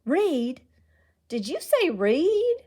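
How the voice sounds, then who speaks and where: A woman voicing a blustering, folksy small-town mayor in a storybook, loud and emphatic. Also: sits high, very animated, extremely slow, pitched above the performer's own voice, heavily acted. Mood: indignant.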